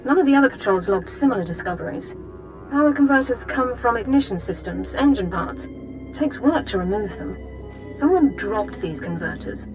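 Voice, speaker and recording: A woman's voice: fairly high pitch, animated, normal speed, low-quality recording. An actress voicing a strict, formal female army sergeant who gives precise, clipped military reports in a game cutscene. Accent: Imperial-sounding. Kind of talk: video game cutscene voice acting. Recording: game audio with music bed.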